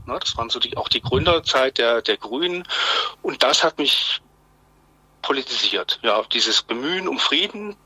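A man in his fifties from Swabia answering interview questions conversationally, haltingly repeating words as he searches for phrasing. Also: mid-range voice, bright, forceful tone, husky, dry recording, poor audio. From Swabia, Germany. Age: 50s. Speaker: interview guest, journalist.

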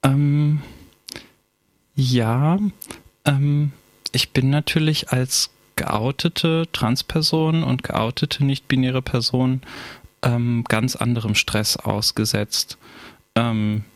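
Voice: mid-range voice, steady tone, slow pace, dry recording. A speaker from Berlin answering as an interview guest in a reflective, considered way and choosing words carefully. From Berlin, Germany.

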